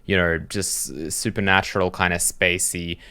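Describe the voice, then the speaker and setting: Low-voiced man, thinking aloud casually. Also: flat delivery, measured pace, bright, forceful tone, clear, resonant tone. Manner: hesitant, rambling, thinking aloud. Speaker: host reacting to game trailers as a game developer. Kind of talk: casual unscripted reaction commentary.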